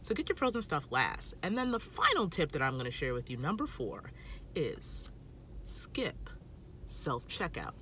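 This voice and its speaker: A woman's voice: sits low, very animated, unhurried, bright, forceful tone, a little hiss or hum. A woman in her thirties giving casual tips to camera from inside a parked car, emphatic and talking with her hands, filmed on a phone at arm's length. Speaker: food vlogger.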